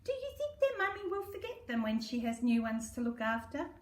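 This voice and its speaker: Echoey storybook mouse kid, done by a lady, aussie, soft n earnest, curious little girl, bit far from mic. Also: on the high side, very animated, a little fast. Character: curious, affectionate little female mouse child. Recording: roomy echo, distant microphone.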